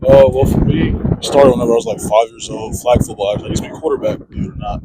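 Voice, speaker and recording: A young man from Georgia answers interview questions in a relaxed, hesitant way, full of fillers and restarts. Loud wind and passing traffic roar behind his voice. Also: medium pitch, racing. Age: young adult. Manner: relaxed, hesitant, lots of uh and well. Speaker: college football player interviewee. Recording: loud wind and vehicle noise.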